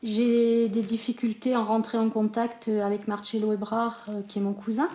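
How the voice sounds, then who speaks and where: An older woman speaking casually and hesitantly, with frequent pauses and fillers, about her family's past. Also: on the high side, little pitch movement, mellow. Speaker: documentary subject. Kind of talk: casual conversation.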